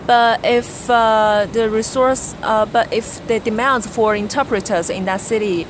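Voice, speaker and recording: A young woman from China with a Chinese accent, a native Chinese speaker answering interview questions hesitantly, with pauses and restarts. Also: a little high, fairly even intonation. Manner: hesitant, many fillers and restarts. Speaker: interviewee, graduate student. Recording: background noise.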